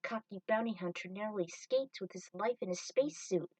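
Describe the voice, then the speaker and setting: A woman's voice: mid-range voice, fast talker, darkish tone, no echo, distant mic. A woman reading scripted story narration, action scenes told in prose. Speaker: narrator and reader of a fan fiction story.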